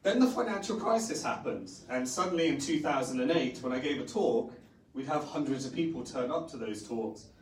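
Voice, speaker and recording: A hoarse, middle-aged man speaks from a conference stage, pausing on fillers as he talks. He sits at an ordinary pitch, and his voice carries across a roomy hall, picked up from some distance with a faint hiss behind it. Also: expressive. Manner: rambling with many fillers. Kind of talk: conference talk. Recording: echoey hall, distant mic, faint noise.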